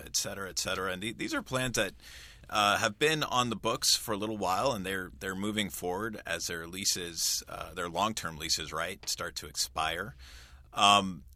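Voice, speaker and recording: A man's voice: deep voice, pushed and bright, no room sound. A man speaking as a news reporter, explaining a story informally in a radio conversation.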